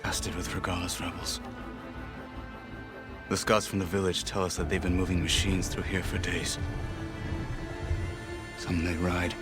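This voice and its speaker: An actor playing a grim warrior in a video game, giving a terse, matter-of-fact report. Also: male voice, low-pitched, flat delivery, unhurried, long pauses. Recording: very loud music over the voice.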